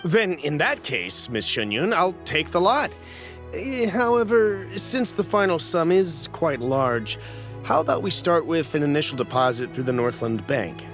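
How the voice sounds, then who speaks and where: An actor playing a wary, fawning merchant buyer who speaks hesitantly and nervously, in a video game. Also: male voice, a little high, sing-song, measured pace, a bit bright, soft background music, heavily acted. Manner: hesitant, obsequious and a bit nervous.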